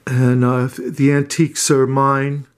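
A man's voice: medium pitch, level tone, slow pace, clean tone. A man giving a casual walking tour of his small renovated cottage, speaking hesitantly with many fillers and restarts, recorded on a handheld camera indoors. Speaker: homeowner giving a house tour.

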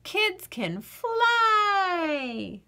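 A woman voicing an energetic, indignant and imaginative little girl from a storybook, with emphatic, childlike delivery. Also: very high-pitched, drawn-out words, voice pushed up, heavily acted. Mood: excited, boastful.

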